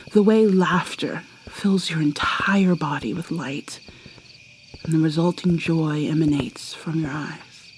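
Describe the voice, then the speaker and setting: A woman playing an ancient vampire mermaid in an ASMR roleplay, whispering softly and haltingly with a wistful manner. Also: low-pitched, slight background noise. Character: ancient female vampire mermaid, guarded and sorrowful.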